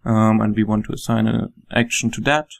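Calm German-accented man explaining software. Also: fairly low pitch, brisk, gravelly. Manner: calm, plain explaining with frequent ums. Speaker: software developer. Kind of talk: screen-recorded software walkthrough.

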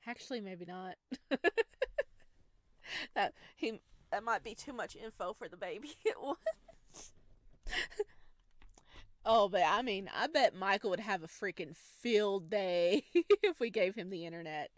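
A woman's voice: medium pitch, animated, takes it slowly, long pauses, no room sound. A woman doing a visual novel let's play, reading aloud and reacting in a chatty, playful, giggly way. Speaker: game streamer.

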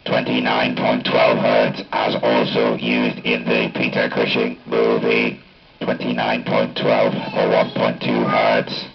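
A man speaking through a Dalek-style ring-modulator robot effect, announcing test settings in a clipped, deliberate way. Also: rumbling low, completely flat delivery, vocal fry, soft background music. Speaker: hobbyist demonstrating a voice changer. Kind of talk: product test demonstration. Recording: dull lo-fi capture from amplifier speaker.

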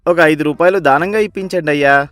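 Man acting a humble beggar, fairly high-pitched. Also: brisk, fairly bright tone, dry recording, faint music behind, natural voice. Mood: humble pleading. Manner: pleading, deferential. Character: humble, pleading hungry male beggar.

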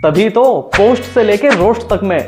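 A man's voice: high voice, normal speed. Mocking young man roasting to camera. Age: young adult. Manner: mocking, sarcastic. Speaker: YouTuber host. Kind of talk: scripted comedic roast commentary to camera. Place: small room indoors. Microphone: lapel mic. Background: music.

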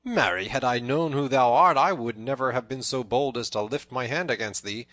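A man doing a bold, gruff storybook tanner, loud and bright. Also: medium pitch, normal speed, dry recording, close to an everyday voice. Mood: amazed, apologetic. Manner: blunt, archaic storybook speech. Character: stout, bold, gruff tanner, grim in victory.

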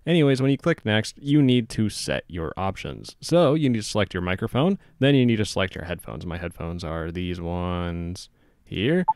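A young man narrating a casual software tutorial in an informal, matter-of-fact way. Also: deep voice, sing-song, moderate pace, smooth. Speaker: tutorial host.

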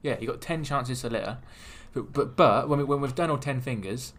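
A man's voice: medium pitch, moderate pace, crowd noise. A British man hosting a podcast, chatting casually and bantering with friends. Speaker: podcast host.

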